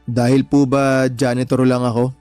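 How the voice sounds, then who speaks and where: A man's voice: medium pitch, completely flat delivery, moderate pace, darkish tone, clear, resonant tone, no room sound, soft background music, lightly acted. Actor playing a young working man, radio drama, mid-range voice, meek, respectful, earnest. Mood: hurt, pleading. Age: young adult. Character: meek, polite young son-in-law, a hardworking janitor.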